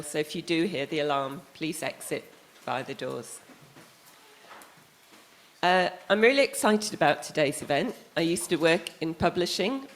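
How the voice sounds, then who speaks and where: A woman's voice: sits low, a little hiss or hum. A woman festival director giving a welcome speech to a theatre audience, making announcements with a few ums.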